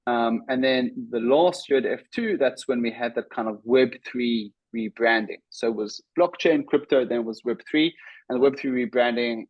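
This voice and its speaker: A man in his thirties with a South African accent, a guest telling his career story in casual interview talk, with lots of "um" and "uh" pauses. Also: mid-range voice, a little mellow, dry recording, dull audio. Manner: talks in short bursts with many "um" and "uh" pauses. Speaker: guest, startup CEO.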